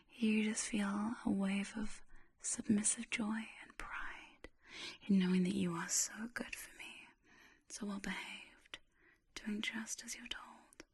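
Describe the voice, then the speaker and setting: A woman whispering an ASMR hypnosis session close to the mic, coaxing and soothing, repeating phrases slowly. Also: mid-range voice, gravelly, slightly rough.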